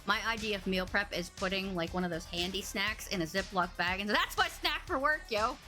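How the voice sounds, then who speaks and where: A woman hosting a casual live-streamed podcast, bubbly and animated with a big, boomy voice. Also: fairly quick. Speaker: podcast host. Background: faint background music.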